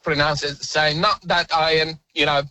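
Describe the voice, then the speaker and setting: Bright, hesitant caller from Iraq. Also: male voice, a little high, level tone, moderate pace. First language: Assyrian. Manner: friendly, hesitant, with fillers. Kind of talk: phone-in chat.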